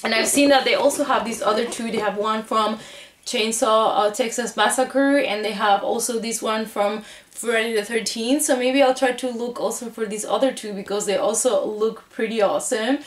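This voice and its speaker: High-voiced gushing woman in an echoey room. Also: steady tone, moderate pace. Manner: gushing, enthusiastic. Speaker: video host showing a collectibles haul. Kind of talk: casual haul vlog chat.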